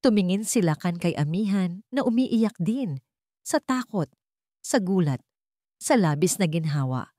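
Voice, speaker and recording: A woman's voice: fairly low pitch, expressive, measured pace, mellow, dry recording, studio quality. A woman reads a scripted dramatic story in a solemn, suspenseful, emotive manner.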